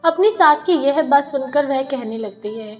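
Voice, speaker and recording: A woman's voice: high voice, expressive, normal speed, soft background music. A woman reading scripted narration of a family moral story as a storyteller.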